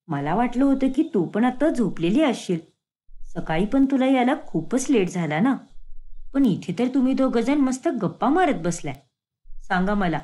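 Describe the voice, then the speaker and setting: A woman voicing a sly, watchful young wife in a cartoon story, speaking softly and sweetly. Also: medium pitch, close to an everyday voice.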